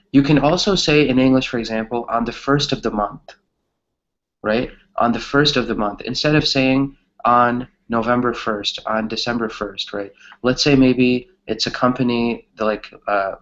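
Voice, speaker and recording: A man's voice: medium pitch, highs cut off. A man teaching an English grammar lesson conversationally, encouraging his students and often checking in with "right?".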